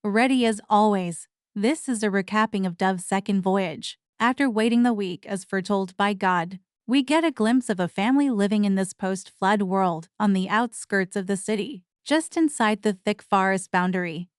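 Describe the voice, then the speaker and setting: An actress plays a formal, slightly defensive archivist in an audio drama. She has a clean, smooth voice at a middle pitch, recorded dry. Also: normal speed, few pauses, dry recording, close to an everyday voice. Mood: prickly, composed. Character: prickly, dutiful archivist sister recapping a story. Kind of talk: scripted recap and banter.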